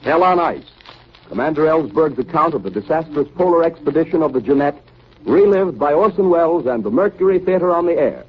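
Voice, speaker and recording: A man announcing formally and with measured phrasing in the style of 1930s radio. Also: on the high side, lively intonation. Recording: tinny, band-limited 1930s radio broadcast.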